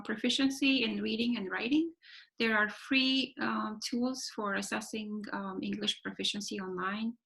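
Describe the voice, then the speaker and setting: A woman's voice: medium pitch, creaky, fairly bright tone, low-quality recording. A woman giving a webinar talk over a video call, explaining things step by step with lots of "right?" and fillers. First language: Romanian. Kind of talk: webinar presentation.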